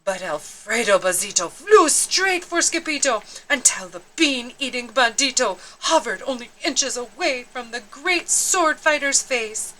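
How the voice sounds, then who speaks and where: A woman's voice: high-pitched, expressive, unhurried, hardly any pauses, a bit bright, slight background noise, rough recording. A woman narrating a children's storybook expressively, with theatrical, dramatic emphasis.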